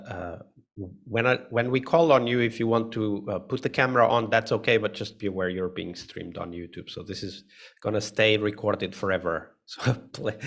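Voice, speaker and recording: Man, session host, low gravelly voice, relaxed, many fillers, video call mic. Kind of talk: live online session hosting.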